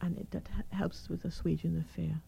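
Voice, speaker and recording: A middle-aged woman doctor with a croaky, hoarse voice, calmly explaining her experience as a radio guest. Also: on the low side, fairly quick, a little hiss or hum. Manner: calm, reassuring, explains step by step. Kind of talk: radio interview.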